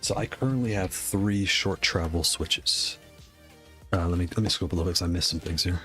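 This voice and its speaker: A man's voice: deep voice, a little fast, faint music behind. A man casually chatting on a live stream, rambling, greeting viewers and laughing, with a voice worn from losing it. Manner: rambling, shouts out chat viewers, laughs often. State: losing his voice.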